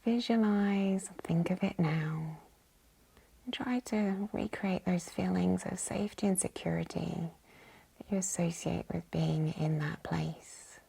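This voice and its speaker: Female meditation guide, very breathy and near-whispered, slightly low, unhurried and soothing delivery. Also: low-quality recording. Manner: calm, gentle, unhurried. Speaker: yoga nidra guide. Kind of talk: guided meditation, slow hushed instruction.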